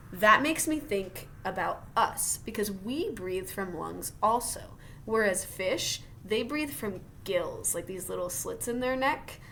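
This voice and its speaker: A woman reads a children's science book aloud in the manner of a teacher. Her mid-pitched voice moves up and down in a lively way, with exclamations of wonder and encouraging asides. There is faint noise behind her. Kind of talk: animated read-aloud with chatty asides to children.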